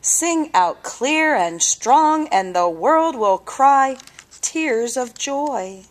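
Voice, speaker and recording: A woman voicing an encouraging grandmother in a storybook, with emphatic, deliberate delivery. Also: high voice, animated, voice pushed up, heavily acted. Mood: rousing. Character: encouraging grandmother giving proud, hearty advice.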